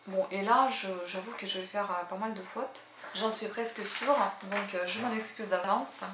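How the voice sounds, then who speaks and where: Woman, casual halting talk with many fillers, echoey room, faint background hum. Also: mid-range voice. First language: Russian. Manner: chatty, hesitant, full of "euh" fillers. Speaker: language-learning channel host. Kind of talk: casual spoken language tips and read-aloud practice.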